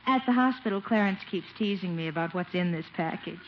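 A woman's voice: lowish voice, a little fast, natural voice. An actress playing a refined, sweet, playfully coy lady being courted in a 1950s radio comedy. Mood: sweetly teasing. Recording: muffled old radio broadcast, faint noise.

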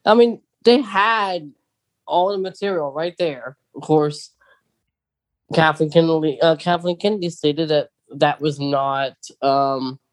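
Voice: mid-range voice, unhurried, no room sound. A podcast co-host chatting casually about films and books.

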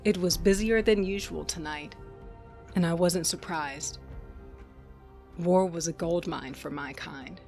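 A woman's voice: lowish voice, a little slow, creaky, pauses often, a little mellow, soft background music. A woman playing a shrewd, guarded smuggler captain in an audio drama, speaking in a blunt, commanding way. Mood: wry, measured. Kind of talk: audio drama acting and first-person narration.

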